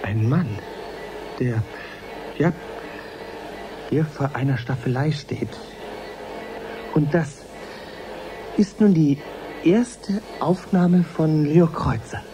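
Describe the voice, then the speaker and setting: An actor playing an eager male science reporter in a radio drama, commenting live with measured wonder. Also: fairly high pitch, over music. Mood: awed, searching.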